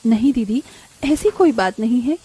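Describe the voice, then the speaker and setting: A woman voicing a gentle, sentimental younger sister-in-law in a cartoon, sweet and earnest. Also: on the high side, animated, moderate pace, husky, dry recording, low-quality recording. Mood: polite.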